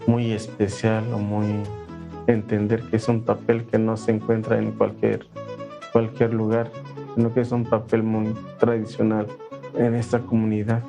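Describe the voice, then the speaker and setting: A man's voice: fairly low pitch, monotone, unhurried, gravelly, music in the background. A man working as an artisan paper maker, speaking plainly in a documentary interview. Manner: plain-spoken, with restarts.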